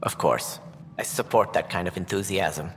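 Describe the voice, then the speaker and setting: A man playing a formal, politely stern space council leader in a video game, measured and diplomatic. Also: sits low, fairly bright tone. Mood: composed. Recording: reverberant, faint background noise.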